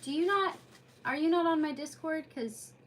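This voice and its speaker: A woman's voice: high-pitched, moderate pace. A woman chatting casually on a livestream in an effusive, rambling way, as host of a read-aloud. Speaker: streamer hosting a live read-aloud.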